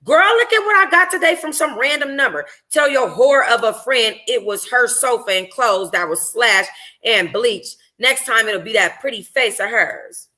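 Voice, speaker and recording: A woman voicing an outspoken young best friend in a novel, animated, slangy and blunt. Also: fairly high pitch, normal speed. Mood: indignant.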